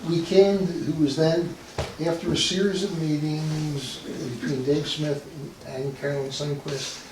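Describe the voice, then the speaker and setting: A man's voice: fairly high pitch, level tone, measured pace. A man speaking as a resident putting his case in a public meeting. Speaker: resident property owner. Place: indoor public meeting room. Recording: very echoey room, distant mic, faint noise.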